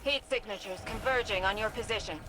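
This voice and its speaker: A stern woman played by an actress as a game's field commander, mid-pitched and no-nonsense. Her delivery is clipped and serious, with music clearly audible behind. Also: fairly quick, close to an everyday voice, poor audio.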